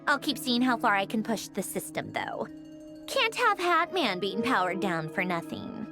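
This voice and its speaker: Spirited, very animated young tinkerer. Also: female voice, mid-range voice, normal speed. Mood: breezy, determined. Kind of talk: video game cutscene dialogue. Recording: music behind the voice.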